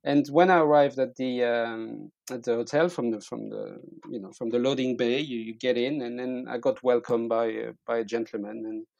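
A middle-aged man with a French accent telling personal stories in casual conversation, haltingly, often repeating words as he searches for them. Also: medium pitch, fairly even intonation, creaky, darkish tone, no room sound, highs cut off. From eastern France. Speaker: interview guest.

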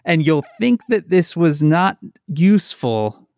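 A man's voice: on the high side, very animated, darkish tone, no echo, studio quality. A man co-hosting a podcast, chatting casually and reading listener questions aloud. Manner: slow, easygoing, reads listener questions aloud. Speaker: podcast co-host. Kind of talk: casual chat.

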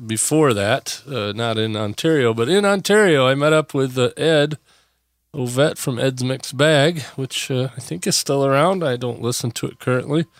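A man hosting a podcast in a casual solo chat, a bit hoarse from a voice that is still coming back, rambling with lots of pauses and restarts. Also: medium pitch, no echo, clean studio sound. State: hoarse, recovering from lost voice. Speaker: podcast host.

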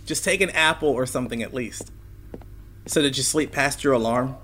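An actor playing a caring parent of a teenager, gently teasing, in naturalistic audio drama dialogue. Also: male voice, medium pitch, normal speed, a little hiss or hum, close to an everyday voice. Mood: wry, caring.

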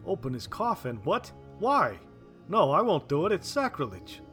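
A man's voice: mid-range voice, lively intonation, measured pace, faint music behind, close to an everyday voice. A stubborn undertaker with a guilty secret in a horror tale, acted by a man. He talks in blunt, clipped bursts. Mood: appalled, refusing.

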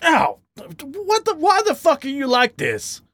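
A man's voice: very high voice, wildly swooping pitch, slow, fairly bright tone, dry recording, pitched above the performer's own voice, heavily acted. A man voicing a hot-tempered, wounded male badger in a dramatic story reading, snappy, indignant and emotional. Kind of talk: dramatic audiobook character reading.

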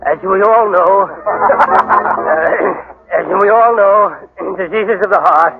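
An awkward, reclusive man whose voice rasps and cracks at a high pitch. It is gravelly and halting, in 1940s radio style. The old recording is muffled, with music behind. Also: exaggerated voice. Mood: nervous, faltering. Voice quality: harsh, rasping, cracking. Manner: halting, throat-clearing, repeats himself. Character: nervous, reclusive young man with a dreadful harsh voice. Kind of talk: 1940s radio drama acting.

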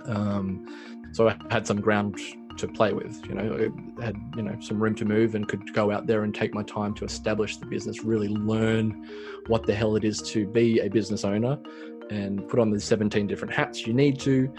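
A man's voice: fairly low pitch, flat delivery, normal speed, music in the background. A man in his thirties, a small business owner, talking reflectively in a casual interview with many fillers. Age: 30s.

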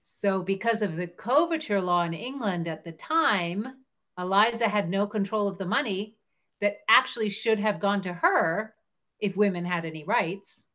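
Casting: female co-host for history discussion, medium-pitched voice, explanatory delivery, slightly dull recording. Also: moderate pace, a bit bright. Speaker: show co-host.

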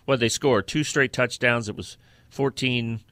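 A man's voice: medium pitch, steady tone, a little slow, pushed and bright, no room sound. A man co-hosting a sports podcast, giving opinionated football analysis in a conversational, casual style.

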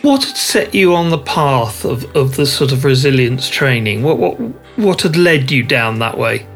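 A woman's voice: rumbling low, expressive, moderate pace, soft background music. A woman from London interviewing a guest, asking short friendly questions. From London, England. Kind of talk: casual interview talk.

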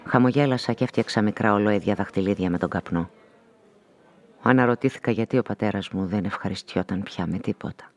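A man performing a reflective woman narrator in a literary radio drama, speaking in a measured, introspective way. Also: fairly low pitch, no room sound, close to an everyday voice. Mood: introspective, sensual. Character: reflective, inquisitive woman narrator who smokes, unmarried. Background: music.

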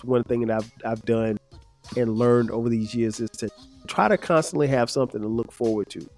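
A man in his fifties hosting his own podcast, talking in a relaxed, rambling way with lots of fillers, his voice a little nasal and stuffed-up. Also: medium pitch. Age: 50s. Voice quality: slightly nasal, stuffed-up from sinus trouble. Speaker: podcast host. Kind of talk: casual solo podcast reflection.

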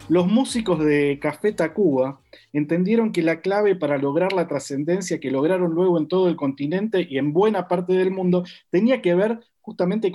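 A man's voice: high-pitched, dark tone. A man hosting an interview podcast, asking long, carefully framed questions. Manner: articulate, long framing questions. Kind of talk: interview questions and scripted intro.

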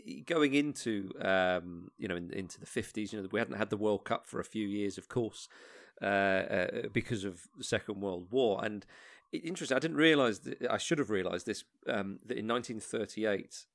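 A man hosting a football podcast, chatting casually in a halting way with many restarts. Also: fairly low pitch, gravelly. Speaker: podcast host. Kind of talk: casual chat.

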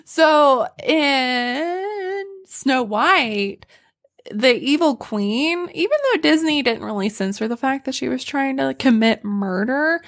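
A young woman hosting a casual podcast who is losing her voice, retelling dark fairy tales with frequent laughter. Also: high-pitched, takes it slowly. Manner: animated storyteller, laughs often. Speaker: podcast host.

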